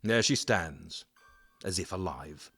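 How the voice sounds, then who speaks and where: A man performs a proud aristocratic duke in an audio drama, coldly menacing and eaten by jealousy. The verse comes slowly and deliberately, in a sharp, forceful tone that swings widely in pitch. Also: lively intonation, creaky, slightly rough, full character voice. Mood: cool, musing. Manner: haughty, deliberate, emphatic.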